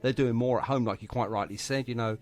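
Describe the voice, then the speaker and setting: A man's voice: mid-range voice, steady tone, fast talker, darkish tone. A man hosting a casual radio interview, chatting in a wordy, encouraging way. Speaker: radio show host.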